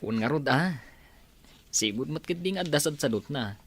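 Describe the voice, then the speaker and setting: A woman's voice: low-pitched, lively intonation, moderate pace, slight background noise. A Filipino woman voicing a concerned, gossipy young village husband in a radio drama. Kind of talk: Ilocano radio drama acting.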